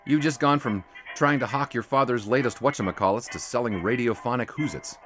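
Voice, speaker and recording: An actor playing a wry young sportswriter who also narrates, in a 1920s audio drama. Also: male voice, mid-range voice, a little fast, fairly bright tone, soft background music, natural voice. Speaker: narrator and lead character.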